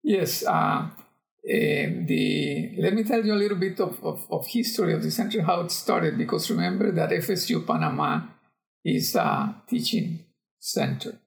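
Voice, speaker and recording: An older man with a Costa Rican accent answers interview questions conversationally, pausing and searching for words. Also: a little high, lively intonation, creaky. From Costa Rica. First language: Spanish. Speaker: geography professor, podcast guest.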